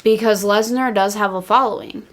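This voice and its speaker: A woman's voice: mid-range voice, steady tone, normal speed. A woman co-hosting a podcast, speaking in casual conversation. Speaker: podcast co-host. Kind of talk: casual wrestling discussion.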